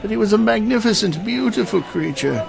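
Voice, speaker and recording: A man acts out an honorable old immortal warrior in a fantasy audio drama. His delivery swings widely in pitch, with formal, stately phrasing and weary regret, and music plays behind him. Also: animated, a little fast, creaky, darkish tone, exaggerated voice. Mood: melancholy.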